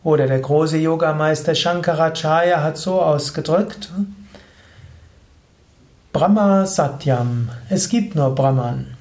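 A man giving a slow, measured spoken lesson on yoga philosophy, explaining with thoughtful pauses. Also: on the high side, a little mellow. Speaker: yoga teacher. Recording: roomy echo.

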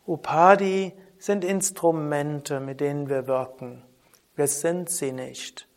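A man teaching a spoken lesson on yoga philosophy in a calm, measured way, often asking a soft "hm?". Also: on the high side. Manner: calm, measured, with frequent soft trailing drops and questioning "hm?". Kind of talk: spoken lesson on Vedanta terms.

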